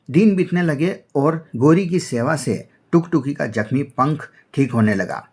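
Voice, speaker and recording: A man giving scripted narration for an animated children's story. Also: medium pitch, slightly rough.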